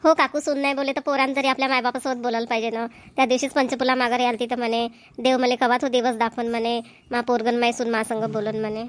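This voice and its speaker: A high, rapid voice of a woman acting as a village grandmother in a homemade cartoon comedy. She is a friendly, gossipy neighbour with a Varhadi Marathi accent. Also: flat delivery, close to an everyday voice. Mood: chatty, neighbourly.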